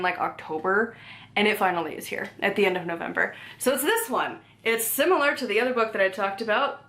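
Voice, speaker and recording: A woman's voice: fairly high pitch, a bit bright. A young woman chatting casually at home about her library books, rambling, full of "like" fillers, with easy laughs. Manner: rambling, chatty, many "like" fillers and self-corrections; easily laughs, talks to her cat between books. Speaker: book vlogger. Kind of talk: casual chat. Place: home, indoors.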